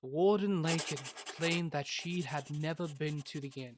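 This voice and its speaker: A man's voice: on the high side, normal speed, background noise, close to an everyday voice. A man playing a doctor who narrates a fantasy tale in scripted storybook style. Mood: storytelling.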